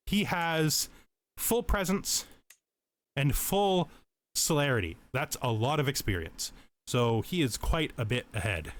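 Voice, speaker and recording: A man giving tutorial-style commentary as a let's play host, explaining things and thinking aloud as he goes. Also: mid-range voice.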